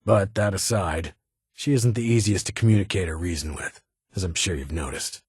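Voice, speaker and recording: An actor playing a stern, guarded vault security chief in a video game, speaking in formal, clipped, measured phrases with a husky voice. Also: male voice, low voice, darkish tone, dry recording, studio quality. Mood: dry, measured. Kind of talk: scripted game character dialogue.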